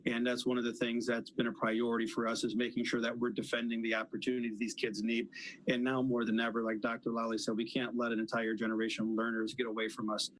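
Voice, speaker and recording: Even-toned man, medium pitch. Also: fast talker, rough recording. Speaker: school official giving remarks. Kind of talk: spontaneous advocacy remarks.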